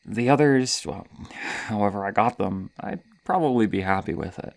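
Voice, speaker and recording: A man playing a gleeful, hesitant serial killer villain toying with prey in a horror roleplay, stammering and pausing dramatically between breathy sighs. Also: on the low side, animated. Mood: musing, menacing. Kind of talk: ASMR horror roleplay monologue.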